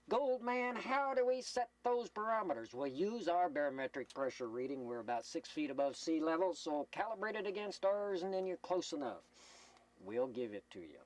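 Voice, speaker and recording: A folksy American man with a somewhat high-set voice works as an amateur announcer at a CB microphone. He reads out weather announcements in a loose, rambling way. His manner is jokey and self-deprecating, and he often breaks off into asides. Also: low-quality recording. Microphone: speaking into a CB radio microphone.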